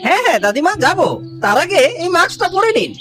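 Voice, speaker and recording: A woman's voice: very high-pitched, sing-song, quick pace, over music. A woman voicing a put-upon, complaining male jackal auto-rickshaw driver in a cartoon. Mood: obliging. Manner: complaining, self-pitying. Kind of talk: cartoon voice acting.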